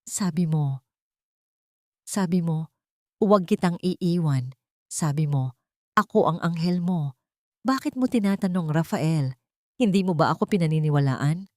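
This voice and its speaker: A woman's voice: fairly low pitch, lively intonation, measured pace, long pauses, a little mellow, dry recording. Woman narrator as a sly young mistress, falsely tender, coaxing, storybook melodrama, dry sound. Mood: defensive, cloying. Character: sweet-talking, scheming young mistress. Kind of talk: dramatic audio storybook dialogue.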